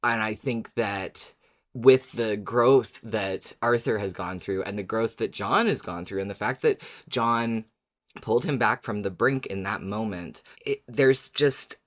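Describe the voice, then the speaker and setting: A podcast co-host speaks at a middling pitch in casual discussion. The manner is agreeable and thoughtful, building each point step by step. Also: unhurried, a bit bright.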